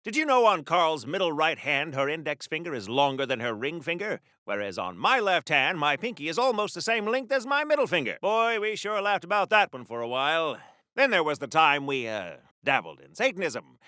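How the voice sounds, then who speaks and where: A man's voice: on the high side, sing-song, a little fast, sharp tone, no echo, studio quality. A man playing a chatty, pompous wartime comic-book hero in a video game, with flowery diction in a theatrical 1940s radio serial style. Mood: smug, chatty, then rambling reminiscence.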